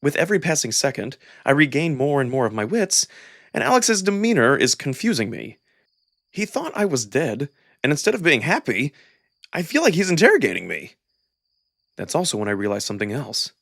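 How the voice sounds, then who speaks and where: A man giving an expressive, acted first-person narration of a visual novel. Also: medium pitch, fairly quick, gravelly, no room sound, studio quality. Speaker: narrator and voice actor.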